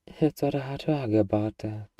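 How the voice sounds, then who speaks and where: A man's voice: lowish voice, brisk, warm tone, dry recording, distant mic, lo-fi sound, natural voice. A man playing an eager, bargaining demon who craves a cuddly pet, in a playfully earnest, wheedling ASMR roleplay monologue. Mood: haggling.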